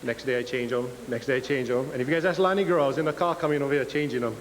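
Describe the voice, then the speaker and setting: A man's voice: mid-range voice, rapid-fire. A young man from Hawaii with a Hawaiian Pidgin accent, giving a casual, joking guest talk at a lecture. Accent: Hawaiian Pidgin English. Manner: nervous, joking, self-deprecating. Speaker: guest speaker. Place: lecture hall. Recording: reverberant hall with faint hiss.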